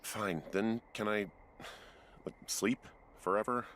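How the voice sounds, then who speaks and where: A man's voice: fairly low pitch, steady tone, takes it slowly, a crowd in the background. An actor playing a weary, sarcastic everyman rookie fire lookout in a video game, speaking in a dry, reluctant, casual way. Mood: tired, sarcastic. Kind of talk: naturalistic video game dialogue.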